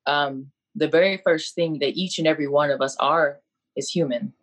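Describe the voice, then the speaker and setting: A woman's voice: sits low, steady tone, normal speed. Woman, college coach, video call, earnest, preacherly, repeats her points. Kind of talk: impassioned faith-based speech on a video call.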